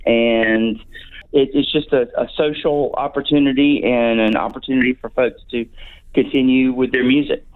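Brief: Southern American man, sixties, halting friendly guest, tinny phone-line sound. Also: medium pitch, fairly even intonation. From North Carolina, USA. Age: 60s. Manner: hesitant, many ums and restarts. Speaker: radio guest, brass band member. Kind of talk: casual radio interview.

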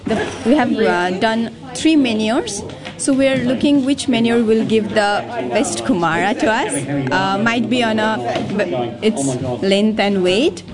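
A woman's voice: fairly high pitch, expressive, measured pace, a loud crowd. Hesitant woman student. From Nepal. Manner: hesitant, explaining. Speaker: masters student.

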